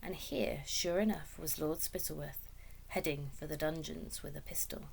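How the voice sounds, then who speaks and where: A woman reading a children's story aloud as expressive scripted narration. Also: low voice, normal speed, gravelly, slight background noise, low-quality recording. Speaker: story reader.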